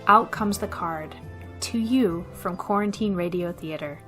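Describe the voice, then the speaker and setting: A woman's voice: on the low side, unhurried. A woman giving scripted narration as host and storyteller of a radio theater show. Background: music.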